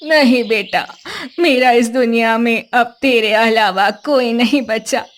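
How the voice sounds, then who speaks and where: A woman voicing a worried elderly grandmother in a cartoon story, pleading slowly and protectively. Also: on the high side.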